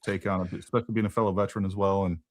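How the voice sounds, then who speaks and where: A man's voice: low voice, fairly even intonation, rapid-fire, no room sound, studio quality. A man hosting a casual political talk show, thinking aloud and repeating words as he goes. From Texas. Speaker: podcast host.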